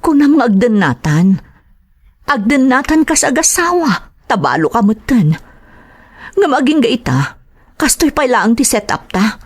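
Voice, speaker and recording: A woman's voice: on the high side, very animated, a little slow, breathy edge, heavily acted. A woman playing a demanding, resentful lover in an Ilocano audio drama. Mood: angry, demanding.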